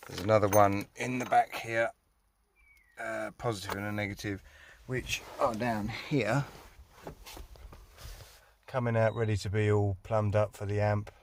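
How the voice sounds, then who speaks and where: British bloke rambling thru a diy car vlog, deepish voice, dry grumbly humour, bit of noise behind him. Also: male voice, fairly even intonation, a little slow. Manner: rambling, wry, self-mocking grumbling. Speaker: hobbyist filming a car radio install. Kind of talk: casual DIY vlog chat. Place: inside a car.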